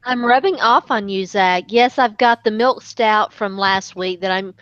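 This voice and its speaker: A woman's voice: medium pitch, a little slow, fairly bright tone, no room sound, highs cut off. A woman co-hosting a casual chat over a video call, stumbling over her words and giggling. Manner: hesitant restarts, giggly. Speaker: co-host.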